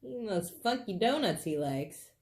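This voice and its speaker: A woman reading a children's book aloud expressively, with animated, dramatic phrasing and casual asides. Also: medium pitch, normal speed, slight background noise. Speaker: storybook reader.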